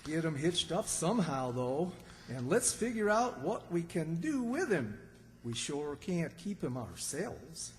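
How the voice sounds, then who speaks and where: An actor plays a good-natured, slow-witted country man in a radio comedy. His voice sits fairly high and swings up and down at an unhurried pace, ringing in a reverberant hall. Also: big pitch swings. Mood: folksy. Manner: folksy, slow-witted, earnest. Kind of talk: old-time radio comedy acting. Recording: reverberant hall stage recording.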